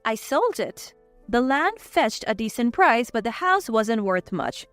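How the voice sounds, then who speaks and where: A woman's voice: fairly high pitch, big pitch swings, unhurried, no room sound, faint music behind. A woman voicing a cool, resolute wife in a narrated divorce story, firm and dismissive.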